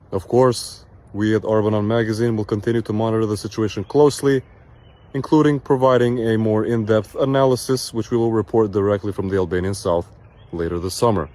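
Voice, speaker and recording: A man presenting a scripted news report in a measured, even newsreader delivery. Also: medium pitch.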